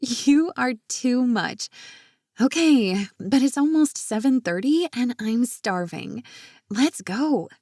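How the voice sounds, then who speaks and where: A woman's voice: a little high, animated, takes it slowly, a little mellow, no echo, studio quality, pitched above the performer's own voice, full character voice. A woman voicing a bubbly, teasing young woman in audiobook character dialogue. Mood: excited, teasing. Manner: exclamatory, teasing. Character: bubbly, playful young woman out with her best friend.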